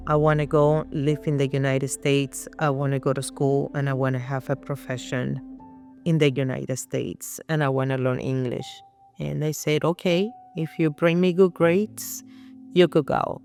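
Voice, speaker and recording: This is a middle-aged woman from Puerto Rico, speaking English with a Spanish accent. Her voice is slow and gravelly, with a fairly flat pitch, and she tells her story in a halting but determined way. Soft music plays underneath. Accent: Puerto Rican Spanish. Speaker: interview guest. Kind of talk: personal life story.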